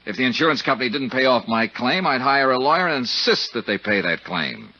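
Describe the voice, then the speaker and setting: An actor playing a shrewd, dogged American investigator who questions and narrates in a clipped way in a 1950s radio detective drama. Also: male voice, medium pitch, big pitch swings, brisk, pushed and bright, no room sound. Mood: probing, dry. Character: shrewd, dogged male insurance investigator narrating his case. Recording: muffled 1950s radio recording.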